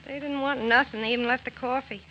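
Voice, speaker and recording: Actress as a high-pitched, sassy diner waitress. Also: female voice, fairly even intonation, fast talker, lightly acted. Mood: puzzled. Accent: American. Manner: folksy, sassy, slangy. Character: wisecracking, nosy small-town diner waitress, hearty appetite. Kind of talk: 1940s American radio acting. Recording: muffled, noisy old radio recording.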